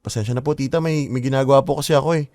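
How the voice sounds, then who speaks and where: An actor playing a polite but exasperated young son in a radio drama. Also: male voice, mid-range voice, steady tone, very fast, darkish tone, no echo, studio quality, lightly acted. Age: young adult. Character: flustered young man caught in the middle. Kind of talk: Filipino radio drama acting.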